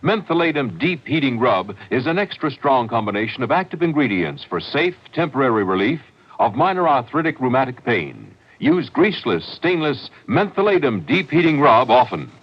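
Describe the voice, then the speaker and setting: A man's voice: medium pitch, sing-song, normal speed. Old radio ad guy, announcer voice going up and down, muffled sound. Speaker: commercial announcer. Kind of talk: vintage radio advertisement. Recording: muffled vintage radio recording.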